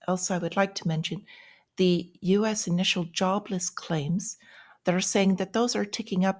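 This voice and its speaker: A woman hosting an informal market news update, explaining things in short, measured phrases with small pauses. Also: lowish voice, darkish tone. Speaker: host of a daily finance update show.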